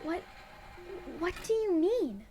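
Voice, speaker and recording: Woman as a high-voiced, anxious pony researcher. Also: sing-song, takes it slowly, dark tone, slight background noise, full character voice. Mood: bewildered, alarmed. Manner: anxious, questioning. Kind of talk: dramatic audio drama acting.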